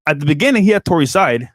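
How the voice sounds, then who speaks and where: A man of about thirty co-hosts a podcast in an animated, casual voice and slips into quoted lines as he tells his points. His pitch sits a bit high. The sound is dry and close to the mic. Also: quick pace, no room sound. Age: 30s. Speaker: podcast co-host.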